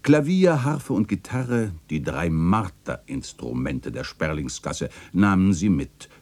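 Man acting a very deep, slow, melancholy old chronicler. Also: very animated, darkish tone, full character voice. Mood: wry, storytelling. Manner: wistful, theatrical, solemn delivery. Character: melancholy old male chronicler remembering his neighbourhood and its dead. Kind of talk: literary radio play narration.

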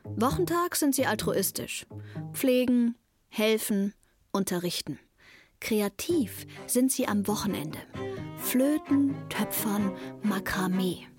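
A woman's voice: medium pitch, lively intonation, slow pace, over music. An actress playing an anxious, wry teenage girl narrating her thoughts pensively in a radio drama. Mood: brooding, wry.

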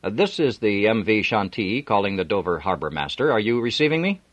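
A man voicing a gruff, formal middle-aged ship's captain in an audiobook. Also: on the low side, animated, fairly quick, no echo, voice pushed up.

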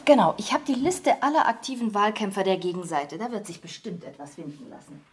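An actress playing an indignant, suspicious journalist with a breathy, husky voice in a radio drama. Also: female voice, mid-range voice, a little fast, a little hiss or hum, close to an everyday voice. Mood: urgent. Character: indignant, suspicious woman journalist investigating a politician.

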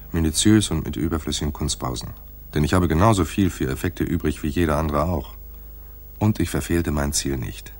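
An actor playing a cool, wry private detective in a hardboiled crime radio play, laconic and sardonic. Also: male voice, very deep voice. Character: wry, cool male private detective narrating his case.

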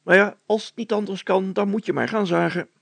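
A man voicing a nervous, excitable town mayor with a fussy, exclamatory way of talking in an audiobook story. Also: high-pitched, a little fast, vocal fry, dry recording, pitched above the performer's own voice, heavily acted. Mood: resolute, regretful. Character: nervous, excitable, curious male burgomaster.

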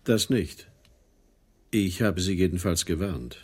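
Husky, coldly authoritative police superior. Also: male voice, sits low, takes it slowly, a little mellow, exaggerated voice. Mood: cold, warning. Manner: curt, official. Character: stern, threatening senior male police commissioner, coldly authoritative. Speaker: actor in a radio play. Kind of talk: German radio crime drama acting.

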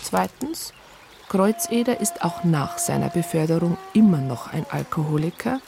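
A woman's voice: lowish voice, lively intonation, moderate pace, gravelly, music in the background. An actress playing an indignant police psychologist who states her complaint in precise, formal words in a radio drama. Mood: reporting, accusing. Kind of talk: radio drama narration and dialogue.

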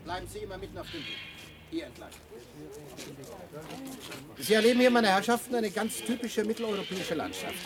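Officious high-voiced tour guide. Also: male voice, sing-song, fairly quick, pauses often, very noisy. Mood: lecturing, showy. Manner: declamatory, lecturing, sternly commanding. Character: officious, pedantic male tour guide of an animal paradise. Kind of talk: radio play acting.

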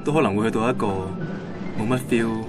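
A man's voice: mid-range voice, fast talker, loud music behind the voice, close to an everyday voice. An actor playing an easygoing, caring young man with a touch of regret, speaking with a Hong Kong accent in a naturalistic radio drama. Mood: thoughtful.

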